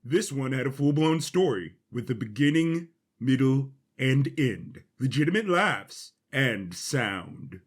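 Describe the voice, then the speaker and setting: A man's voice: mid-range voice, animated, slow pace, hardly any pauses, slightly rough. A man reading scripted fiction as the narrator of an audio story.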